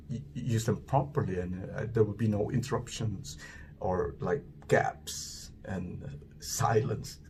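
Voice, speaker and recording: A man from the Philippines with a Filipino English accent that sits between American and British, talking reflectively to himself, with careful enunciation, restarts and pauses. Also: lowish voice, darkish tone, a little hiss or hum. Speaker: vlogger. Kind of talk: reflective solo monologue.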